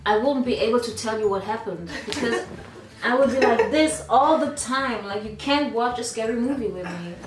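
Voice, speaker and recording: A woman's voice: on the high side, normal speed. A young woman from Congo co-hosting a casual chat, giving short, teasing replies. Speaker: channel co-host. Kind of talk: casual get-to-know-me chat. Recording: roomy sound, mic at a distance, clear music behind.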